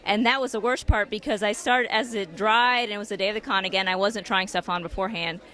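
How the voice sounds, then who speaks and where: An easygoing woman explaining her sewing work at a convention. Her voice is mid-pitched and ordinary, and she feels her way through answers with frequent pauses. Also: steady tone, bright, forceful tone. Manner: easygoing, hesitant with fillers. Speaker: cosplayer guest. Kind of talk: casual interview answers. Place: at a fan convention.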